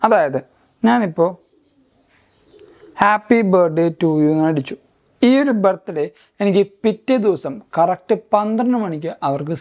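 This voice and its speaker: A man's voice: fairly high pitch, darkish tone. A man presents a gadget walkthrough as a tech reviewer. He explains each feature in an instructional manner, moving through it one step at a time.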